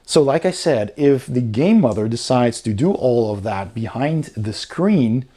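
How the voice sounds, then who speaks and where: A man's voice: mid-range voice, animated, unhurried, mellow. Man giving a casual rulebook review. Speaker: board game reviewer.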